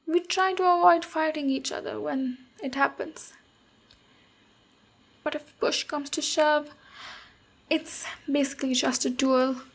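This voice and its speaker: A woman playing a big, friendly female ogre warrior in an ASMR roleplay, speaking gently and encouragingly as a mentor. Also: high voice, steady tone, measured pace, long pauses. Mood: matter-of-fact, casual. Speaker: ASMR voice actor. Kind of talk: ASMR roleplay, slow intimate monologue.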